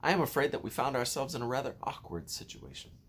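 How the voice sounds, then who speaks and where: A man voices an elderly green grasshopper from a children's storybook. He is a composed old gentleman, formal in his speech and reassuring to those around him. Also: medium pitch, sing-song, fast talker, a little hiss or hum, voice pushed up. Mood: grave, restrained. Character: courteous, composed old male green grasshopper, grandfatherly leader.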